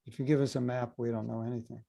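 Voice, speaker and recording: A man, a spiritual teacher giving a relaxed, teasing lecture and Q&A over a video call. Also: mid-range voice, racing, vocal fry. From United States. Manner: humorous, teasing, easygoing.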